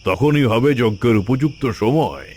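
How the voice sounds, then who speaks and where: Male actor as vengeful tantric sorcerer, cartoon fairy tale, medium pitch, deliberate, boastful, theatrical. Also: sing-song, a little mellow, slight background noise, close to an everyday voice. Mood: solemn, persuasive.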